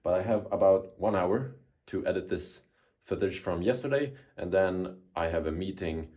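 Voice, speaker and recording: Young man with a deep voice. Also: normal speed, dark tone, dry recording, studio quality. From Sweden. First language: Swedish. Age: 20s. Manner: reflective, musing, self-motivational. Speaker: vlogger. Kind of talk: casual vlog talk to camera.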